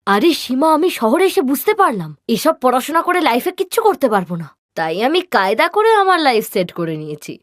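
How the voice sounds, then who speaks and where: A high-pitched young cartoon big sister, acted by a woman, brash and vain. Her pitch jumps around as she bosses and brushes people off in slangy speech, in a dry, close recording. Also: sing-song, quick pace, no room sound. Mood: scornful, boastful. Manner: dismissive, bossy, slangy with English words. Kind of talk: cartoon character dialogue.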